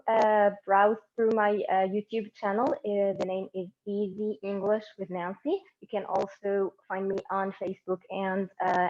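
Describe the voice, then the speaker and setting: Woman teaching a relaxed online class. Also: mid-range voice. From Egypt. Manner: relaxed and encouraging, with many fillers and restarts. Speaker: English conversation teacher. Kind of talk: live online conversation class. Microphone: laptop or phone mic on a video call. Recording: slightly dull online stream audio.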